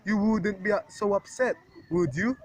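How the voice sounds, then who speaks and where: A woman's voice: mid-range voice, normal speed, background noise, close to an everyday voice. A woman playing a weary, dismissive desk police officer in an amateur radio play, placating and patronizing.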